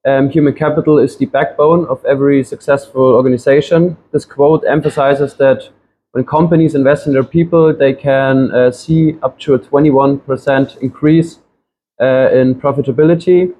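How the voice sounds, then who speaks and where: A man's voice: mid-range voice, fairly even intonation, moderate pace, dark tone, slight background noise, poor audio. A man, giving a classroom presentation with frequent ums and restarts. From Germany. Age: 20s. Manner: hesitant, many ums and restarts. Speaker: student presenter.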